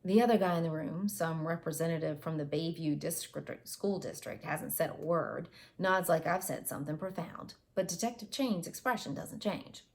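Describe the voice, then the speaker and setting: A woman's voice: deep voice, moderate pace, creaky, hardly any pauses, darkish tone. A woman in her forties reading a first-person novel aloud, recorded on earbuds in a home office. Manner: reads aloud with character voices and retakes. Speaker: audiobook reader. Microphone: wireless earbuds.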